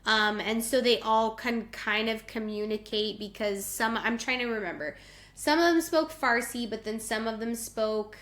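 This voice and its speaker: A woman's voice: fairly high pitch, normal speed, a bit bright. A woman from the United States co-hosting a podcast, chatting casually and often saying "like". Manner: chatty, peppered with "like". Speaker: podcast co-host. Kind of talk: casual chat.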